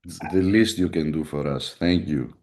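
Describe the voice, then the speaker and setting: A man's voice: extremely low pitch, moderate pace, a little mellow. A young man with a Greek accent, hosting a casual live podcast chat in a blunt, profane, candid way. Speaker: podcast host.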